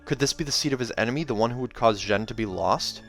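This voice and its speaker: A male host reads fiction aloud in an expressive narrator's style and slips into casual chat. His pitch is average for a man. Soundtrack music plays behind him. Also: fast talker. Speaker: reader and channel host.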